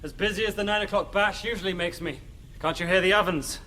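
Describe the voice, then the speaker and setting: A harried, hopeful captive baker played by a man with a high, bright voice. It is period audio drama, heard a little off-mic over faint noise. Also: fast.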